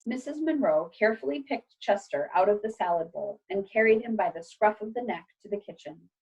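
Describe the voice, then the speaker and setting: A woman reading a children's storybook aloud like a teacher, with expressive, clearly phrased delivery. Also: mid-range voice, fairly quick, highs cut off.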